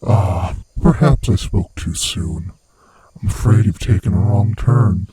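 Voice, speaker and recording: A man voicing a sinister, gloating monster who hunts human prey, with a husky, rasping voice and a slow, taunting manner, in a horror ASMR roleplay. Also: dark tone, slight background noise. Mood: mocking menace. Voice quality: husky, breathy, rasping low voice. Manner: slow, menacing, theatrically taunting.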